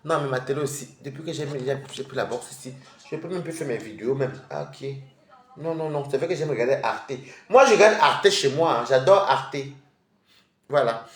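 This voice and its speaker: A man's voice: mid-range voice, animated, moderate pace. Man, stuffy head cold, rambling live-stream chat, roomy echo. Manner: chatty and rambling, addressing viewers directly. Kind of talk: casual live-stream chat while eating.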